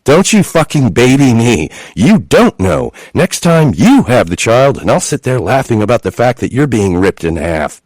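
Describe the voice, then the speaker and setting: A man's voice: mid-range voice, very animated, moderate pace, hardly any pauses, no room sound, pitched above the performer's own voice. A man voicing a furious woman in labor. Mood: fierce. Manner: yelling, swearing, fierce. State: in labor pain.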